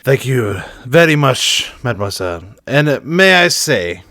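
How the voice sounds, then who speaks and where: A man's voice: medium pitch, very animated, measured pace, pushed and bright, dry recording, clean studio sound, voice pushed up, heavily acted. A man playing a lovesick young nobleman who poses as a humble dragon, speaking in a grandiose, courtly and melodramatic way in a tabletop game. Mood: dramatic, wounded.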